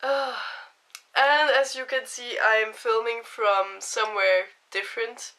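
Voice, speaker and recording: A young woman with a cold and a hoarse voice, chatting casually as she explains each step of a makeup tutorial. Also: a little high, fairly even intonation, measured pace, sharp tone.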